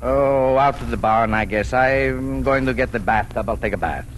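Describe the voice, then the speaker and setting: A man's voice: medium pitch, moderate pace, natural voice. An actor playing a farmer father, stern but tender and sometimes hesitant, in 1940s radio drama style. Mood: subdued, grumbling. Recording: noisy old 1940s radio recording.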